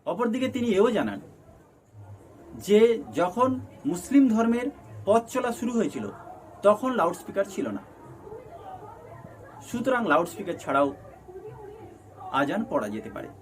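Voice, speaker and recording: A man with a Bengali accent presenting a news explainer in halting, emphatic phrases. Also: sits high, big pitch swings, poor audio. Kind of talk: scripted news explainer narration. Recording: noticeable background noise.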